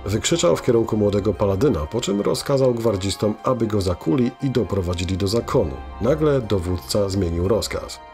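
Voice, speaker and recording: A man's voice: lowish voice, animated, a little fast, few pauses, a little mellow. A man narrating a scripted fantasy audio drama as its storyteller. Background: music behind the voice.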